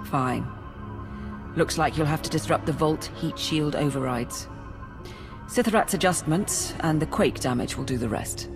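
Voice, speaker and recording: A woman's voice: sits low, unhurried, gravelly, music in the background. A woman playing a practical, anxious imperial officer in a video game, speaking deferentially to superiors. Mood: worried, practical. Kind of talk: scripted video game acting.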